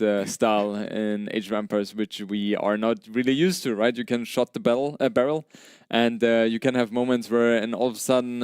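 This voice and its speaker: Clear, conversational male esports commentator. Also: mid-range voice, darkish tone, no room sound, studio quality. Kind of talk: live tournament commentary.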